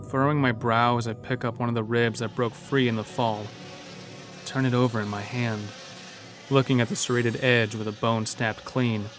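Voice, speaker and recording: A man narrating a scripted first-person horror story as an uneasy logging worker. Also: mid-range voice.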